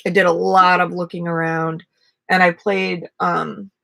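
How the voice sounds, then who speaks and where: A woman in her forties with a raspy voice, chatting casually and rambling on a live stream from a small camper. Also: on the low side, measured pace, no echo. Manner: relaxed, rambling, self-deprecating. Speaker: vlogger and ukulele player. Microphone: webcam at desk.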